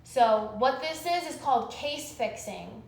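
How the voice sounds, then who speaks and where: A woman's voice: sits high, expressive, unhurried, creaky. Insistent woman caller in an echoey recording. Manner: insistent, detailed explaining. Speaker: caller making a complaint to police. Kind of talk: phone call, recounting a complaint. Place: recorded phone call, echoey. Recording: echoey phone call recording.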